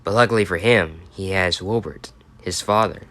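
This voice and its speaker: A man's voice: low-pitched, normal speed, sharp tone. A man with an American accent reading a bedtime story and chatting about it as he goes. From United States. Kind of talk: storytelling and chat.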